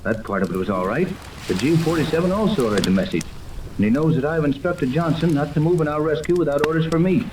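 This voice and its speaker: An actor in a 1930s radio serial playing a steady, defiant ship's captain, firm and plain-spoken. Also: male voice, fairly high pitch, quick pace, lightly acted. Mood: grave, steady. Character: steady, defiant male yacht captain, adventurous hero. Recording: roomy, distant, noisy 1930s radio recording.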